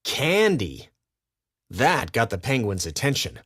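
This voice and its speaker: A man's voice: medium pitch, sing-song, a little slow, dry recording, clean studio sound. A man reading a scripted children's story as its narrator.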